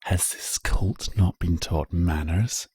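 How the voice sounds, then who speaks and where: A man voicing a prim, disapproving spirit of the wind and leaves in a slow hissing whisper, for a storybook. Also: sits low, warm tone, husky, dry recording, studio quality, heavily acted. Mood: hissing disapproval. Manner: hissing whisper, prissy, drawn out.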